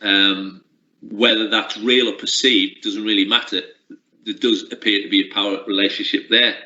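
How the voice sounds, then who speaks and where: A man's voice: lowish voice, steady tone, normal speed, pushed and bright. A middle-aged man, a sports coaching lecturer, answering interview questions in a reflective way with frequent restarts. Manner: reflective, uses many restarts and fillers. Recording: lo-fi roomy recording.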